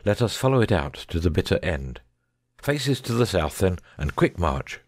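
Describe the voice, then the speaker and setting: A man's voice: low voice, sing-song, normal speed, no echo, clean studio sound. A man playing a shrewd, self-assured detective in a dramatised audiobook, speaking precisely and formally. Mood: brisk, resolute.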